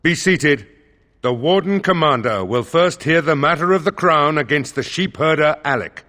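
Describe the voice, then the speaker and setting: An actor playing a stern court steward in a video game, speaking in a measured, formal and dryly wry way. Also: male voice, a little high, lively intonation, sharp tone. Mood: formal, commanding.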